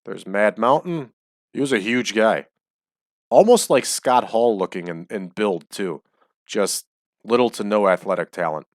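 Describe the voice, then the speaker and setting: Male let's-play host, low and close, chatty, voice slightly dry. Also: lively intonation, a little slow, dry recording. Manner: chatty, explains choices as he goes. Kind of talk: casual game commentary. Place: small home room.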